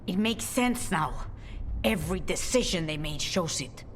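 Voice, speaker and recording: An actress playing a grave, resolute underworld ally in a video game, speaking in a measured, solemn way. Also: female voice, on the low side, expressive, slight background noise. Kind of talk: dramatic video game voice acting. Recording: faint music behind.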